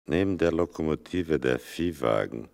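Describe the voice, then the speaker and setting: Grave storyteller, bottomless bass male voice, heavy creak, unhurried scripted radio drama narration. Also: animated, a little mellow, exaggerated voice. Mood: grave, sombre. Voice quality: very deep, gravelly.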